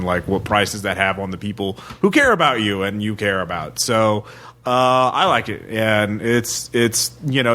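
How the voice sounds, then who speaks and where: A man co-hosting a casual podcast, explaining things in a halting, thoughtful way. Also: lowish voice, fairly bright tone. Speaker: podcast co-host. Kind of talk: casual podcast chat about comics.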